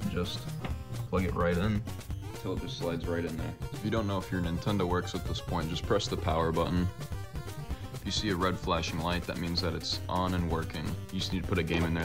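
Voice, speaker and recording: A raspy young man who just woke up, giving a laid-back, blunt tutorial that he peppers with casual swearing. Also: low voice. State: just woken up. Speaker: retro gaming YouTuber.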